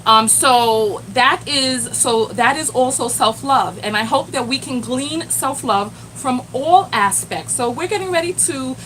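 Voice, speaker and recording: A woman's voice: high-pitched, a little slow, hardly any pauses, a little hiss or hum, rough recording. A woman hosting a live stream as a self-love coach, chatting animatedly and talking straight to her viewers. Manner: animated, addresses the chat directly, exclaims "Lord have mercy".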